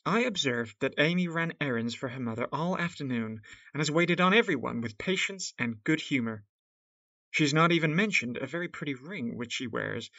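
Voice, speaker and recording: A man playing a gentle, loving father praising his daughters after returning home, in an audio drama. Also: fairly high pitch, no echo, muffled recording. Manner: warm, measured praise. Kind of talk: radio drama acting.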